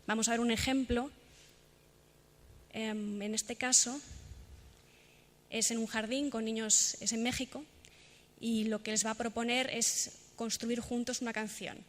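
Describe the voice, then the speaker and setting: Woman presenter doing an intro, kinda high voice, pretty flat delivery. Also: a little slow, pauses often. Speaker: congress presenter introducing a performance. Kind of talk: spoken introduction.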